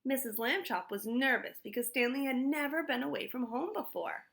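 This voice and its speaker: A woman reading a children's storybook aloud in an expressive style. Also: sits high, moderate pace, gravelly. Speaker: storybook reader.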